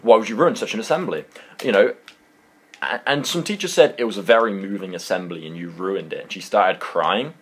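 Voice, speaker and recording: A young man with a British accent and a low-pitched voice tells an anecdote to his viewers. His delivery is casual and animated, full of slang, and it rambles along like a chat with friends. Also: a little fast, slight background noise. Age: young adult. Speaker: YouTuber telling a story.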